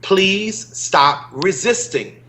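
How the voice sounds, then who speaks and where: A middle-aged man co-hosting a political panel discussion, speaking in a measured, earnest and emphatic way. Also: high voice, animated, pushed and bright. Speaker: co-host.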